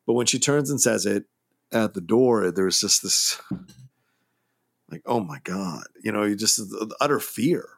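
A man co-hosting a film podcast, talking with emphatic, animated energy. His pitch swings widely and a gravelly fry runs through his voice. He chimes in often with quick agreement. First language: English, grew up with Spanish too. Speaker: podcast co-host. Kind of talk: casual film discussion.

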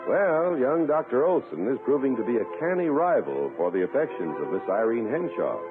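A man's voice: medium pitch, very animated, a little fast, music in the background. An American man announcing in a polished, emphatic 1950s radio style, reading scripted copy. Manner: polished, emphatic salesman delivery. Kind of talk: 1950s radio advertising read and scripted narration. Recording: narrow, tinny 1950s radio recording.

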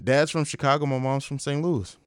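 A young man hosting a casual podcast, swearing freely and telling animated stories. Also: medium pitch, a little fast, slight background noise. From grew up on US military bases in Italy. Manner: animated storyteller, laughs often. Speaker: podcast host.

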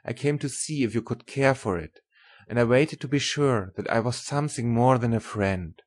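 A woman's voice: extremely low pitch, normal speed, darkish tone, pitched below the performer's own voice. A woman voicing a tender, earnest older German professor in love, with formal, emphatic speech in an audiobook. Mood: joyful, earnest. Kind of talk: audiobook character voice.

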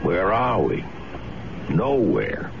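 A man's voice: low voice, very animated, very slow, vocal fry, full character voice. An actor playing an uneasy, skeptical man in a 1970s radio drama. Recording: tinny, lightly hissy 1970s radio broadcast.

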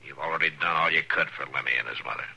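A man playing a stern, steady frontier marshal in a 1950s radio western, with firm and measured speech. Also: rumbling low, creaky, heavy background noise. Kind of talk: 1950s radio drama acting.

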